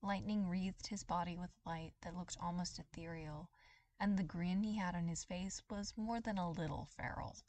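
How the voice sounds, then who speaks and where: A woman reading a story aloud as scripted, expressive dramatic narration. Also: on the low side. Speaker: podfic reader.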